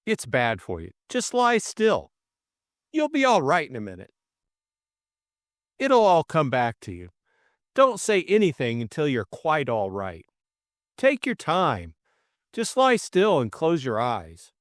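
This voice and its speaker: A man's voice: fairly high pitch, huge pitch swings, slow pace, pauses often, no echo, clean studio sound, voice pushed up, heavily acted. A man voicing an anxious, besotted young Englishman who fusses and gushes in an audiobook. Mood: anxious, fussing. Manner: gushing, fatuous, solicitous. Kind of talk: audiobook character voice.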